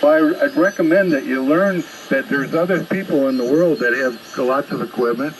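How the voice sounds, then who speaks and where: A man's voice: on the high side, normal speed. Blunt man arguing over noisy ham radio. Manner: blunt, confrontational, lecturing. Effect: shortwave single-sideband radio transmission. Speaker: amateur radio operator.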